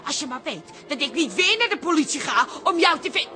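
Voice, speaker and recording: An actress playing a bossy, exasperated café-family daughter in a radio comedy drama. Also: female voice, sits high, animated, a little fast, pushed and bright, soft background music.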